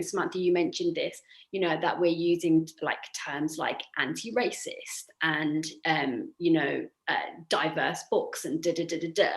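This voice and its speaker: A woman's voice: on the low side, gravelly. A woman hosting a conversational discussion, speaking in a thoughtful, somewhat hesitant way. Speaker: host. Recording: echoey room.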